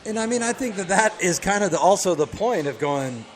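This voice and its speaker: Fairly high-voiced man discussing sports. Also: animated, a little fast. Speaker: sports radio show co-host.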